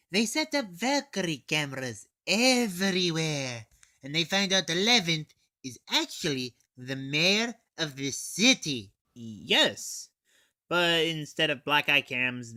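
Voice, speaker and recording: A man's voice: a little high, pushed and bright, no echo. A teenage male reviewer giving an informal, sweary, scripted comic recap. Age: teens. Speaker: anime reviewer.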